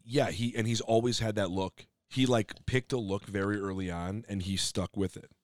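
A man guesting on a podcast, a comedian telling rambling stories with a loud voice and lots of restarts. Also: lowish voice, fairly even intonation, moderate pace, dry recording, studio quality. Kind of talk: casual interview chat.

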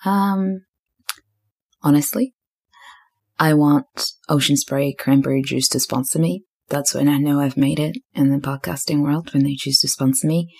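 A woman's voice: low-pitched, fairly even intonation, a little slow, creaky, a little mellow, slightly rough. A young woman from Zimbabwe and New Zealand hosting a solo podcast, rambling and thinking aloud with lots of fillers. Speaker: podcast host.

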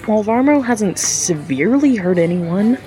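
A woman's voice: medium pitch, lively intonation, normal speed, dark tone, natural voice, low-quality recording. A woman playing an eager young facilitator in an audio drama, earnest and a bit nervous.

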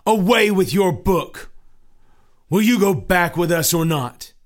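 A man's voice: high voice, slow pace, voice pushed up. A man voicing a stubborn, scornful male neighbor who blusters and mocks.

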